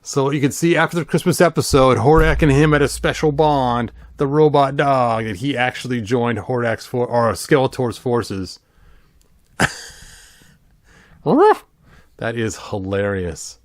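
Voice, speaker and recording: A man giving a relaxed, chatty read-through of a picture book, with playful and enthusiastic fan commentary. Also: medium pitch, measured pace, no room sound. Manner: playful, enthusiastic fan asides with vocal sound effects. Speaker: YouTube host reading a children's picture book aloud.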